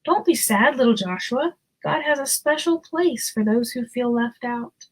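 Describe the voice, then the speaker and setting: Lady doing a sweet old cow for a kids story, gentle, comforting, kinda high voice. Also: animated, a little slow, breathy edge. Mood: gently comforting. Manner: gentle, soothing, friendly. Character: kind old female cow, comforting friend. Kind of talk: children's storybook read-aloud.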